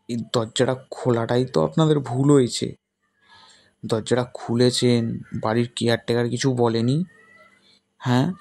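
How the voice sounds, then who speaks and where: A man's voice: medium pitch, darkish tone. A man playing a stern, suspicious policeman in a dramatised ghost story, interrogating and accusing.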